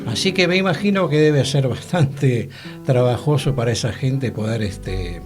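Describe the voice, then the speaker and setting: A man's voice: mid-range voice, animated, normal speed, a little mellow, soft background music. A man with a Buenos Aires Argentine accent chatting informally as a radio panelist, in a rambling way. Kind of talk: casual conversation. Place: radio programme, guitar music playing behind the voice.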